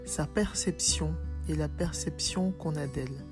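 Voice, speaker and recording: A woman with a slightly low voice hosts an interview podcast. She speaks in the manner of a presenter putting questions to a guest, with music faintly behind her. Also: unhurried. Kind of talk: scripted intro and casual interview.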